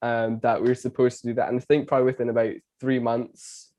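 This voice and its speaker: Mellow-voiced Scottish-accented man host. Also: medium pitch, flat delivery, quick pace. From Canada. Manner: chatty, laughs between phrases. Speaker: podcast host. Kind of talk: casual conversation.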